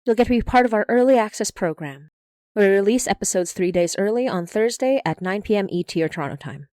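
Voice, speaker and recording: A woman's voice: medium pitch, lively intonation, fast, darkish tone, dry recording. A woman podcast showrunner reading scripted credits and announcements into a close mic.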